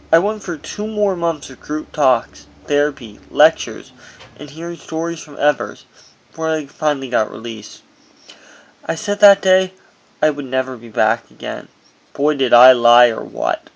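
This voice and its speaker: A man's voice: mid-range voice, creaky, poor audio. A man reading his own memoir aloud as narration, in a personal first-person delivery with dramatic emphasis, on an amateur recording.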